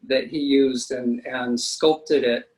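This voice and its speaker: A man's voice: medium pitch, steady tone, slow pace, rough recording. Chatty special effects artist, man. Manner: chatty, enthusiastic storytelling. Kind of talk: casual interview answers.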